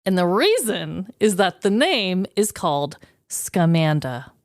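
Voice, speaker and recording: A woman's voice: fairly low pitch, very animated, takes it slowly, no room sound. A woman in her thirties co-hosting a podcast, chatty and playful, laughing often. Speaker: podcast co-host. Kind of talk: casual chat.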